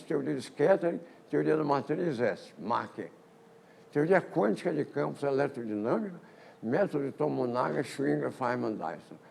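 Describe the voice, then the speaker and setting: An older man, giving a physics colloquium talk on history in a lecture hall, halting often with repeated words and false starts. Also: a little high, creaky, darkish tone, breathy edge. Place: lecture auditorium.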